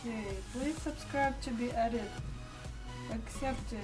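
A woman who grew up speaking co-hosts a game livestream, slightly high-pitched and unhurried. She keeps repeating requests to subscribe in a bossy, casual way. Loud game music plays behind her. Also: steady tone, low-quality recording.

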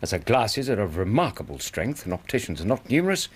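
An actor playing a courteous, dryly patient English gentleman doctor with a husky voice in a radio drama. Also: lowish voice, sing-song, quick pace. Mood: amused. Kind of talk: BBC radio drama acting.

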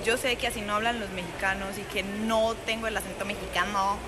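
A woman's voice: medium pitch, fairly even intonation, brisk, loud music behind the voice. A young adult woman with a Colombian paisa accent chatting playfully into her phone while vlogging outdoors. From Colombia, Antioquia. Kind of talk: casual selfie vlog chat. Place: outdoors at a beach, later a club. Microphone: phone at arm's length.

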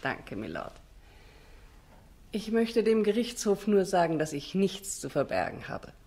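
A woman's voice: medium pitch, very animated, unhurried, warm tone. An actress playing an indignant, defensive female witness in a German radio play, formal and emphatic.

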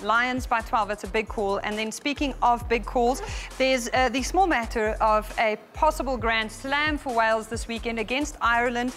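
A woman's voice: a little high, moderate pace, fairly bright tone, faint music behind. A woman in her thirties with a South African accent, hosting a rugby show in a TV studio in an upbeat, bubbly way. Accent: South African English. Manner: bubbly, upbeat presenter patter. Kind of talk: studio presenting and interviewing.

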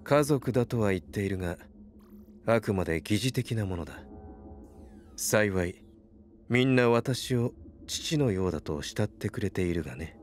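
A fatherly leader acted by a man talks in a lowish, measured voice. He sounds calm, smug and detached, over faint music in an audio drama. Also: close to an everyday voice. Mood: amused, detached. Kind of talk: Japanese voice drama acting.

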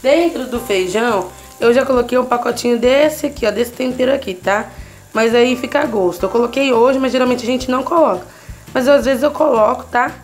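A woman's voice: fairly high pitch, a little fast. A woman with a Brazilian Portuguese accent hosting a casual home cooking tutorial, chatting to her viewers as she cooks in her kitchen. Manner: chatty, constantly checking in with the viewer. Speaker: cooking channel host.

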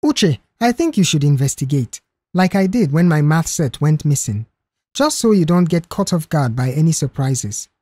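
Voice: medium pitch, very animated, moderate pace, darkish tone, slightly rough, dry recording. Nicholas, earnest worried boy, read by a narrator. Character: Nicholas, cautious, worried young brother.

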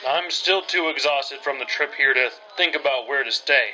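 An actor playing a fussy, weary city gentleman in a radio drama, polite and formal in manner. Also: mid-range voice, moderate pace, highs cut off. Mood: weary exasperation. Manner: polite, formal, put-upon. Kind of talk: old-time radio drama acting.

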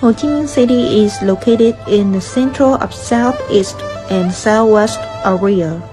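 A woman in her twenties from Vietnam with a Vietnamese accent, reading a prepared pageant introduction over music. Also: medium pitch. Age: 20s. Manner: slow, careful reading of a prepared speech. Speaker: pageant contestant. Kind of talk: scripted narration.

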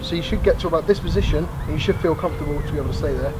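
A man's voice: fairly high pitch, very fast. A man in his thirties giving an encouraging, emphatic how-to tutorial as a coach. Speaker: host and acrobatics coach. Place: grassy field outdoors. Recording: outdoor noise, traffic ambience.

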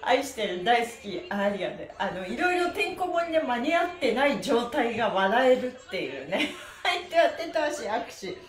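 A woman's voice: fairly high pitch, sing-song, normal speed, reverberant. A middle-aged woman leading a live stretch class, cheerful and giggly, chatting to herself between chanted instructions. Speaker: stretching instructor and livestream host. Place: small bare-walled room indoors, seated on mat.

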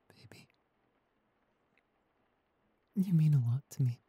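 A man playing a shy, anxious, devoted young boyfriend in an intimate roleplay audio, speaking softly and hesitantly with small stammers. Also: a little high, husky, no room sound. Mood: tender, grateful. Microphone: close studio condenser microphone.

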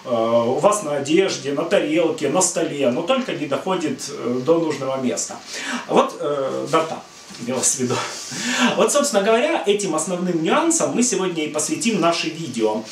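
A middle-aged man hosts a home cooking video and explains at a relaxed pace, with a fairly high voice and frequent "uh" fillers. He stands back from the camera, so his voice sounds distant and picks up echo from the kitchen. A faint hum of room noise sits underneath. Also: normal speed. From Ukraine. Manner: rambling, many "uh" fillers, friendly and explanatory. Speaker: cooking channel host. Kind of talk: casual cooking talk to camera. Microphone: far camera.

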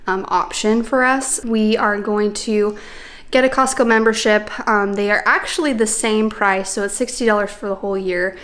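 A young pregnant woman chatting casually to camera in a bright living room, upbeat and full of fillers as she shows off her purchases. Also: medium pitch, slight background noise. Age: young adult. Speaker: lifestyle vlogger. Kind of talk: casual vlog chat showing purchases.

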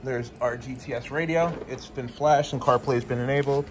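A man's voice: mid-range voice, steady tone, normal speed. Man, 40s, plain-spoken shop guy, phone-camera sound in a garage with faint noise. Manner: plain-spoken, matter-of-fact, with frequent ums and uhs. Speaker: motorcycle audio shop owner. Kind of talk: casual product demo and review. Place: garage workshop with a motorcycle. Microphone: phone camera at arm's length.

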